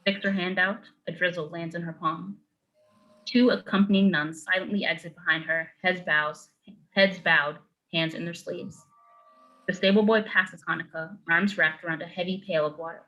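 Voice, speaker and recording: Bright, low-voiced woman narrator. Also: fairly even intonation, normal speed, muffled recording, faint music behind. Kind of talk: reading screenplay stage directions.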